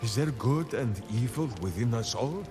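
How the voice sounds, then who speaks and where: An actor playing a hearty, earnest hulking ranger in a video game, grandly speaking of himself in the third person. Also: male voice, medium pitch, expressive, normal speed, over music, close to an everyday voice. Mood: troubled, pondering. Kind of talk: theatrical video game dialogue.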